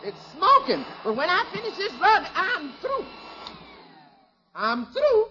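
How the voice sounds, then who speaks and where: An actress playing a good-humoured, frank housekeeper in a 1950s radio comedy, with folksy, droll delivery. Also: female voice, extremely high pitch, wildly swooping pitch, takes it slowly, a crowd in the background, full character voice. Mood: weary. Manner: folksy, dialect grammar, droll repetition. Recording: dull old radio recording.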